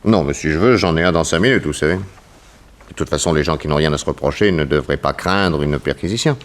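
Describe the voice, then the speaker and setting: An actor playing a shrewd, suave private detective with an ironic, insistent way of questioning in a 1960s French radio drama. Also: male voice, extremely low pitch, fairly quick.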